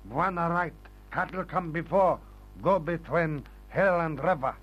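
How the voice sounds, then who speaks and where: A solemn African guide in an old radio serial, speaking terse, stately broken English. The voice is fairly high, on a dull recording. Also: male voice, a little slow, soft background music. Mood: solemn, sure. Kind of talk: old-time radio adventure serial acting.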